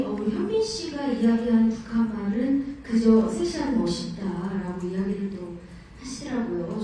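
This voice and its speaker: A woman hosting a press conference, asking questions in a lively manner in a hotel ballroom. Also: a little high, a little hiss or hum. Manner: lively, bright-toned questioning. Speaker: press event host. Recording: echoey ballroom, distant mic.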